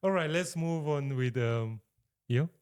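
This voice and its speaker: A man's voice: fairly high pitch, measured pace, a little mellow, clean tone. A man from Africa hosting a casual talk show, upbeat and full of short repeated affirmations. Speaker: host. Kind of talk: casual chat.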